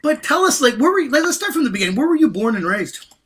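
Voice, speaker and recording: A man's voice: very high-pitched, sing-song, fast, a bit bright, slight background noise. A man co-hosting a casual podcast, asking questions with enthusiasm. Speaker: podcast co-host.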